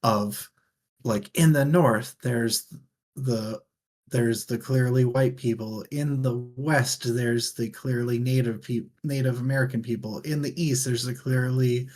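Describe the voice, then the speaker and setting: A man's voice: mid-range voice, steady tone, unhurried, a little mellow. A man co-hosting a book discussion, talking in a casual, conversational way. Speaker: book discussion show host.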